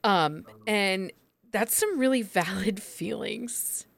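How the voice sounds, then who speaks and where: A woman's voice: mid-range voice, slow pace, gravelly, a bit bright, dry recording. Chatty woman with a dry, close sound. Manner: opinionated, leads the conversation. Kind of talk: casual chat about book signings.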